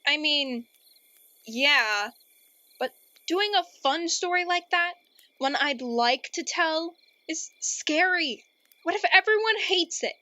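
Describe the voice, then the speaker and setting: A woman acts out an earnest storyteller with a bright, high voice and a very dry, close sound. She delivers gloomy storybook narration deliberately and theatrically, as someone easily exasperated. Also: expressive, no echo, exaggerated voice. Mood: doubtful, defensive. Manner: melodramatic, deliberate.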